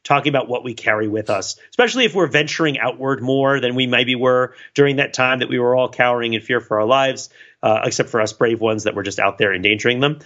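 A man co-hosting a podcast in casual chat, talking in a rambling, animated, wryly self-deprecating way. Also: mid-range voice, fast, dull audio. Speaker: podcast co-host.

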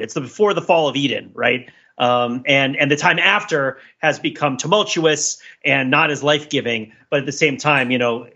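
A man's voice: fairly high pitch, pushed and bright, husky, lo-fi sound. A man hosting a casual podcast discussion, thinking aloud in a rambling, playfully analytical way. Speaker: podcast host.

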